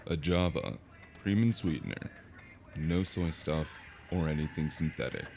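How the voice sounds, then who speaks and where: A man's voice: rumbling low, unhurried, darkish tone, slight background noise. A man playing a dry, weary, hesitant investigator in a cyberpunk noir audio drama. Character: male freelance investigator, dry, weary, awkwardly flirtatious noir loner. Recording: music behind the voice.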